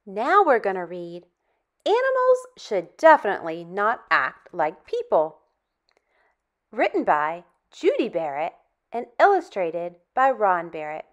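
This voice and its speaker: Lady doing storytime for little kids, super swoopy sing-song voice, takes it really slow. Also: medium pitch, dull audio. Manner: sing-song, exaggerated, animated enunciation. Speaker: storytime host for young children. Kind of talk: read-aloud for preschoolers.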